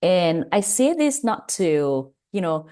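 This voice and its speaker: A woman with a Cameroonian accent speaks in a low, dark, mellow voice. She gives a webinar talk over a video call, chatty and personal, with asides to her listeners. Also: big pitch swings, slow. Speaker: guest presenter, pharmacist. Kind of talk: webinar presentation.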